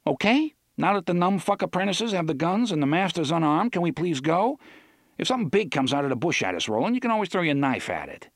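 A jumpy, wisecracking young man, loud and high-strung, firing off sarcastic lines, as a male narrator puts him on. His voice jumps up when he shouts. The recording is dry and close. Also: sing-song, quick pace, dry recording. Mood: impatient.

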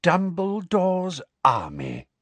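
A man voicing a pompous, excitable male government minister in an audiobook, blustering, stammering and barking with glee or outrage. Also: sits high, takes it slowly, no echo, voice pushed up, exaggerated voice. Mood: gleeful. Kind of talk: audiobook character acting.

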